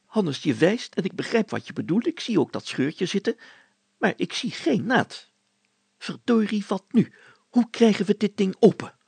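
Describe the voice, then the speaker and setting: Man acting out a pompous, high-pitched excitable mayor. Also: creaky, breathy edge, dry recording, exaggerated voice. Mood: flustered. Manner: exclaiming, stammering, indignant, drawn-out words. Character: pompous, excitable, fussy male small-town mayor.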